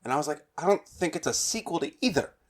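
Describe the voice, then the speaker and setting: A man's voice: on the high side, animated, fast talker. A man co-hosting a casual fan podcast, talking emphatically and often repeating himself. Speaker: podcast co-host.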